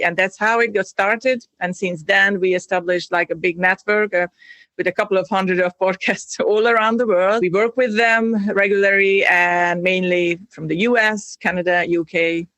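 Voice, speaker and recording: A woman's voice: fairly low pitch, fairly even intonation, moderate pace, fairly bright tone, no room sound. A woman, a guest chatting casually, laughing often between restarts and fillers. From Hungary. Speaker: podcast guest. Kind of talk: casual interview talk.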